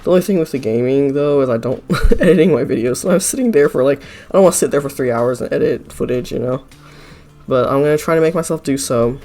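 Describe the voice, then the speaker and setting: Casual male voice-over, hoarse and gravelly from a recent cold, a bit stuffy, meandering and apologetic, average pitch, soft music underneath. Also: quick pace. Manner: rambling, with many fillers like "like" and "uh", apologetic. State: slightly stuffy nose. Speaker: art channel creator. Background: faint background music.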